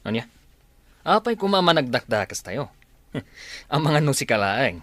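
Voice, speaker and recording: A man's voice: mid-range voice, sing-song, a little slow, a bit bright, no echo, studio quality. Man acting commanding villain boss, swinging delivery. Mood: mocking. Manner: commanding, sneering. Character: scheming male villain boss, commanding and mocking. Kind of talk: Ilocano audio drama acting.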